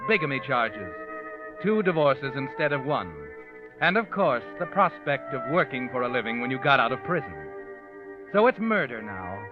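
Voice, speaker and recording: Sinister old-radio narrator played by a man, swooping pitch. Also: close to an everyday voice. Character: sinister omniscient male narrator, knowing and mocking. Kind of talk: 1940s radio suspense narration in second person. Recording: dull lo-fi old radio recording. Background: dramatic music.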